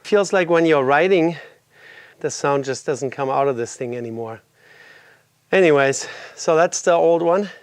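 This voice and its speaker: A man's voice: a little high, unhurried, a little hiss or hum. A middle-aged man with a congested voice from a cold, casually explaining motorcycle work step by step while he does it in his home garage. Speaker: garage video host. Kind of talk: casual workshop walkthrough.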